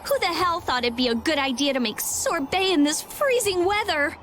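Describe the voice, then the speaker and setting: Casting: bossy anime game girl mage, high voice, very animated, emphatic and blunt. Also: moderate pace, a little hiss or hum. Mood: irritated. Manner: sharp-tongued, emphatic. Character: brusque, bossy teenage girl mage and researcher.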